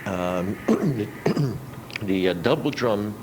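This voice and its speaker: A man's voice: fairly low pitch, animated, drawn-out words. A middle-aged man telling stories casually as a musician guest in a radio interview. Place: radio studio. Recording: noisy old radio aircheck.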